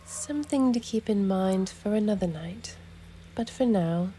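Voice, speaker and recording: A woman's voice: mid-range voice, measured pace, mellow, a little hiss or hum, lightly acted. A woman voicing a bold, devoted adventurer in love, speaking intimately and with assurance in fantasy game dialogue. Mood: reassuring, flirtatious. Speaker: amateur voice actor.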